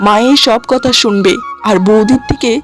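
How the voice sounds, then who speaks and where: A woman's voice: a little high, moderate pace, soft background music, lightly acted. A woman voicing a young husband in a cartoon, exasperated and lecturing reproachfully. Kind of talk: cartoon dialogue.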